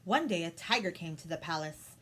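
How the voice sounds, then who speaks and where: A woman's voice: fairly low pitch, animated, fairly quick, a bit bright. A woman reading a children's story aloud as a storytime host. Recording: some background noise.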